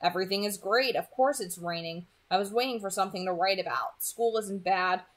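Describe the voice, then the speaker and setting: A woman's voice: medium pitch, fairly quick, natural voice. Teenage boy, storybook character, dry and patient, soothing a parent female narrator performing. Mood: reassuring, dry. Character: teenage son, dry, patient, soothing his flighty mother. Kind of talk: storybook character voice in a read-aloud.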